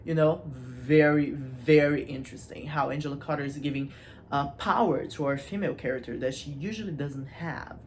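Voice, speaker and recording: A low-pitched woman talks through a literary analysis in a casual, chatty way. She is informal and playful, dropping asides to the viewer. Background noise is audible in the recording. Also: moderate pace, a little mellow. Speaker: host of a literature video series.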